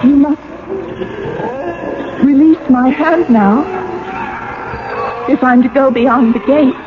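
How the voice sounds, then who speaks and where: An actress playing a brave young daughter in a radio drama, self-assured, earnest and firm. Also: female voice, high-pitched, takes it slowly. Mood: urgent. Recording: muffled old radio broadcast, faint music.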